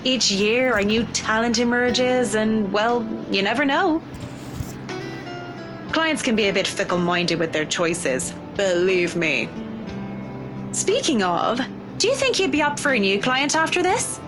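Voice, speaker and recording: A poised female interior designer in a visual novel game. She talks in a composed, conversational way, with a fairly high and very varied pitch. Music runs underneath. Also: sing-song, unhurried. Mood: poised, friendly. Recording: music behind the voice.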